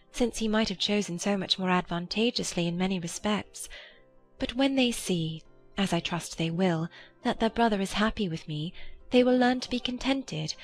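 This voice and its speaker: A woman playing a gentle, trusting, earnest young gentlewoman in a dramatized period audiobook. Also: fairly low pitch, lightly acted. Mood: earnest, modest. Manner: soft-hearted, earnest.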